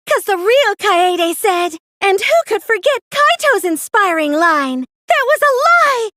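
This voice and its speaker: Game villain: young cosplayer mastermind, piercingly high, very animated, taunting, dry close recording. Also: female voice, a little slow, dry recording, heavily acted. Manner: theatrical, gloating, taunting. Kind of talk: anime game voice acting.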